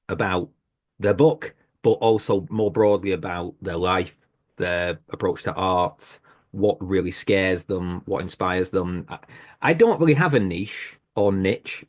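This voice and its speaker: A British man, a horror podcast host, talking as a guest on a video-call panel in a relaxed, self-deprecating way. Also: fairly low pitch. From Britain. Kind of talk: casual panel conversation.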